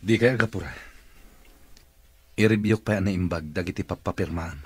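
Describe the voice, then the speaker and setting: An actor playing a weary, reluctant wealthy boss in a wheelchair in a radio drama, with a Filipino accent and a sighing manner. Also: male voice, sits low, moderate pace, slightly rough, dry recording.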